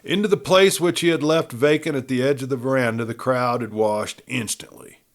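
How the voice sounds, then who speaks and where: A man reading a western novel aloud as an audiobook narrator, with expressive, dramatic storytelling. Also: mid-range voice, normal speed.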